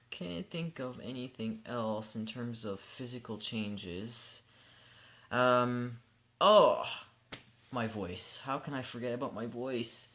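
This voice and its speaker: A young man chatting candidly in a casual personal vlog, relaxed and sleepy, with many fillers and restarts. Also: fairly low pitch. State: sleepy at bedtime. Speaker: vlogger.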